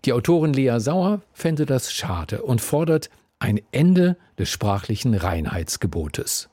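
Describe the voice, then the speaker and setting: A male radio presenter from the Hanover area of Lower Saxony, talking in a relaxed, wry, conversational way. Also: a little slow, slightly rough, no room sound. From Lower Saxony, near Hanover. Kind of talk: radio moderation. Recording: dry studio with faint music.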